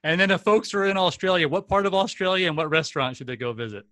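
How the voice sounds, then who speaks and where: Enthusiastic male podcast host, bright. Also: high-pitched, animated, quick pace, no echo. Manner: enthusiastic, rambling, many fillers. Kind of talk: casual podcast interview. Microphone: desk condenser mic, video call.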